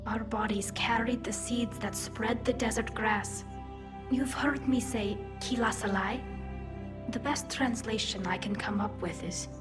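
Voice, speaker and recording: Sci-fi game alien woman, female actor: gentle, longing, reflective, mid-pitched, with music clearly behind. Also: close to an everyday voice. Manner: soft, wondering, reflective. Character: female alien exile, young, gentle, wistful, longing for home.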